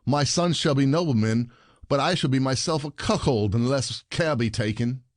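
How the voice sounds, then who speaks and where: An actor playing a bitter, sarcastic husband, a rich commoner full of complaint, in a theatrical comedy audio play. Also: male voice, medium pitch, normal speed, dry recording, studio quality, close to an everyday voice.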